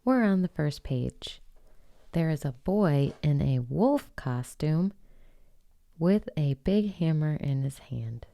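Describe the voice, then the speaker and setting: A woman reading a children's picture book aloud as host, in an expressive storytelling manner with dramatic pauses. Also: deep voice, creaky. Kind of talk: slow bedtime storybook read-aloud.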